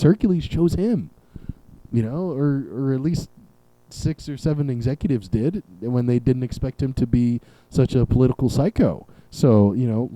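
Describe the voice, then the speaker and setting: A man's voice: mid-range voice, warm tone, dry recording, poor audio. A young man hosting his own podcast alone, riffing in a sarcastic, rambling way with plenty of profanity. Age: young adult. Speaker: podcast host. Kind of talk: solo comedic podcast rant. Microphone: camera and podcast mic.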